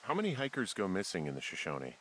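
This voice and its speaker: A man's voice: low-pitched, huge pitch swings, fast. An American actor playing a reserved, dry-humored male fire lookout in a video game, speaking in a casual, understated way. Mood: curious. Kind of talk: naturalistic video game dialogue. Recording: faint background hiss.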